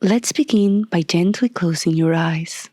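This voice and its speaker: A woman leading a guided meditation as a scripted narration, soft and breathy, calm and soothing, with deliberate long pauses. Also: on the low side, a little hiss or hum.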